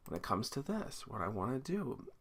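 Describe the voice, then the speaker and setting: A man's voice: mid-range voice, sing-song, moderate pace, breathy edge. A man giving a casual screencast tutorial, explaining each step informally and thinking aloud as he works. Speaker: teacher giving a software tutorial. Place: indoors at a computer, screen recording.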